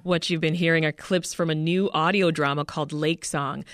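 A woman's voice: low voice, fairly even intonation, normal speed. A woman from Toronto hosting a radio interview. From Toronto, Canada. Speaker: radio show host. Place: dry radio studio. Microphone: close studio microphone.

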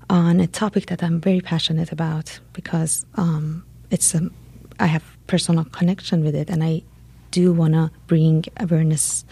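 A woman from Iran, a guest on a radio show, speaks softly and earnestly, pausing often. Her voice is low and dark, husky with a gravelly fry. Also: no echo. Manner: soft-spoken, earnest, many pauses. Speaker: guest, documentary platform co-founder. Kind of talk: radio interview.

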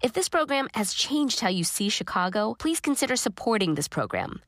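A woman's voice: on the high side, very animated, moderate pace, fairly bright tone, dry recording, clean studio sound. A woman show host reading a scripted announcement. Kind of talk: scripted sponsorship appeal.

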